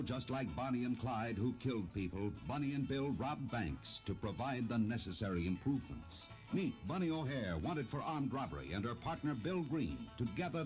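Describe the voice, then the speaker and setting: A man's voice: medium pitch, very animated, moderate pace, hardly any pauses, darkish tone, faint music behind. A man reading a scripted movie trailer as announcer, with a playful, dramatic sales delivery. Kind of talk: scripted film trailer narration.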